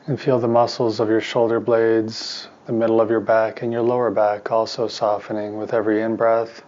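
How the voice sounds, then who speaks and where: Man leading a guided meditation, even monotone. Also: lowish voice, moderate pace, highs cut off. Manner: calm, soothing instruction in short, spaced phrases. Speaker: meditation teacher and therapist.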